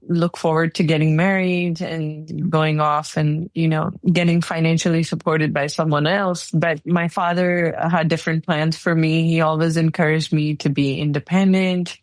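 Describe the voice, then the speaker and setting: A woman in her forties from Pakistan, telling her life story in a relaxed interview. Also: lowish voice, dry recording. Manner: relaxed storytelling with light laughs. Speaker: guest, engineering executive. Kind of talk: casual interview answers.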